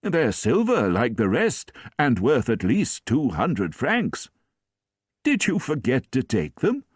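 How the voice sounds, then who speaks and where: A man voicing a gentle, forgiving old priest who speaks softly and warmly. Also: medium pitch, huge pitch swings, breathy edge, no echo, clean studio sound, voice pushed up, exaggerated voice. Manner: soft, warm, unhurried.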